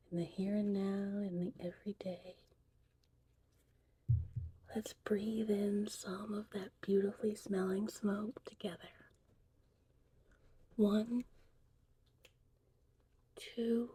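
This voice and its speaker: A woman speaking mostly in a soothing, slow whisper, as a gentle mystical witch in an ASMR roleplay. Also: medium pitch. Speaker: ASMR creator playing a witch who teaches potion making. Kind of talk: whispered ASMR roleplay, guided breathing and narration. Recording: clearly noisy, vintage VHS-tape styled audio.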